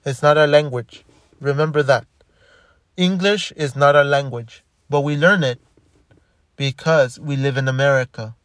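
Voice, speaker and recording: A man's voice: medium pitch, measured pace, pauses often, fairly bright tone, no echo. A man talking casually in a rambling monologue, restarting and repeating his words often. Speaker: commentator.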